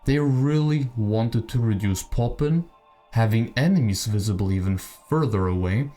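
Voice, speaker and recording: Dark, mellow-voiced man over music. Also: medium pitch. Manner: conversational, speculative, opinionated. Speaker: video creator, channel host. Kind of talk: video essay commentary on video games. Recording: music behind the voice. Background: background music.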